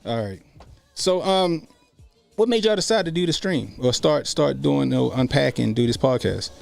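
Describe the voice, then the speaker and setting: A man's voice: medium pitch, lively intonation, normal speed, creaky, a little mellow. American man, late thirties, podcast host, slangy casual banter, joking and salty, faint music behind. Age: late 30s. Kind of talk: casual chat. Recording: music bed under speech.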